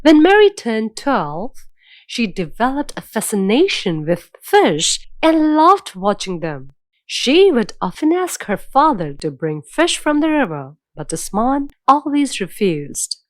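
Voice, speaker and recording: A woman narrating a scripted children's fairy tale in a measured, dramatic storytelling manner. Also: on the high side, very animated, dry recording.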